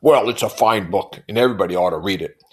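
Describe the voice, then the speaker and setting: A man's voice: lowish voice, fast talker, natural voice. A man voicing a pompous, irritable, bullying rich husband from a novel in an emphatic, blustering manner.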